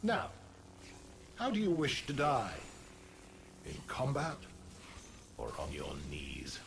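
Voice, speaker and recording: An actor playing a contemptuous, menacing villain in a video game, with a pompous, taunting manner. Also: male voice, mid-range voice, wildly swooping pitch, takes it slowly, vocal fry, full character voice. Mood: mocking menace. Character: contemptuous, menacing male villain possessing a Jedi master. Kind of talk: video game cutscene acting. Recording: game audio with noisy ambience behind.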